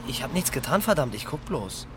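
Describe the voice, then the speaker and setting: An actor playing a defensive young onlooker in a radio crime drama, evasive and curt, with slangy speech and a husky voice. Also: male voice, mid-range voice, big pitch swings, a little fast, heavy background noise, lightly acted. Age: young adult.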